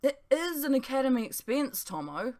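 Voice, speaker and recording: A brash young male student, acted by a woman narrator in an audiobook. He is exuberant and theatrical, lifted high and full of cheek and melodrama. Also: big pitch swings, moderate pace, a little mellow. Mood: grudging. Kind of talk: dramatised audiobook dialogue.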